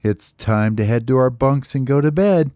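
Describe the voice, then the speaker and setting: A man's voice: medium pitch, moderate pace, dark tone, smooth, no room sound, studio quality, voice pushed up, close to an everyday voice. Man playing a firm bird camp counselor. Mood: firm, questioning.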